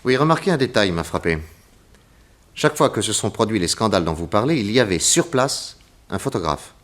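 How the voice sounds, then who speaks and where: A man playing a composed, probing private detective in 1960s French radio drama, speaking with courteous, measured questioning. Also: on the low side, sing-song, a bit bright. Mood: thoughtful.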